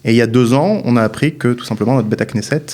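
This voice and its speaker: A man in his thirties from France, a guest answering interview questions calmly and matter-of-factly. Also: medium pitch, fast talker. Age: 30s.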